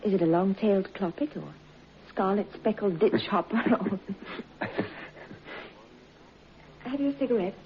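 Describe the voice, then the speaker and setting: A woman's voice: medium pitch, expressive, slow pace, long pauses. An actress playing a genteel, teasing young bride with her secret lover in an old radio drama. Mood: teasing, uneasy. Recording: dull, noisy old radio recording.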